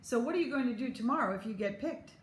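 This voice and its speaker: A woman's voice: on the high side, animated, fast talker, close to an everyday voice. A woman reading a children's storybook, voicing an eager, helpful schoolboy who is the hero's best friend. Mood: encouraging. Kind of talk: children's storybook read-aloud. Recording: roomy echo, clear background noise.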